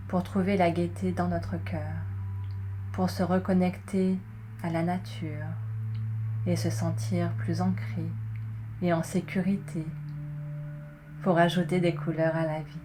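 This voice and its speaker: A woman guiding a relaxation session in a soothing, measured way, pausing between short phrases as she narrates. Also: fairly low pitch. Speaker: yoga nidra guide. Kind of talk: guided relaxation, scripted narration. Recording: clearly noisy, ambient tones behind. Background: meditation music with singing bowl tones.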